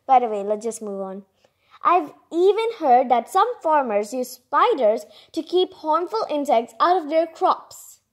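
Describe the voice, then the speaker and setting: A woman's voice: high-pitched, big pitch swings, unhurried. A woman reading a children's picture book aloud, expressively and informally, with chatty asides and exclamations. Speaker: read-aloud narrator.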